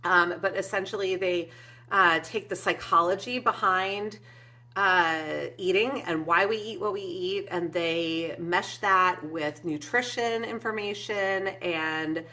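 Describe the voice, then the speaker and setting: A woman in her forties speaks to her webcam from a cozy craft room, thanking viewers in a heartfelt, rambling way full of little ums. Her voice is bright and forward, sitting slightly low in pitch. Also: slow. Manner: warm and emotional, frequent ums, gestures with hands. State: teary at moments. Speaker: craft channel host. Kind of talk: heartfelt casual vlog to viewers. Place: indoor craft room with shelves and flowers.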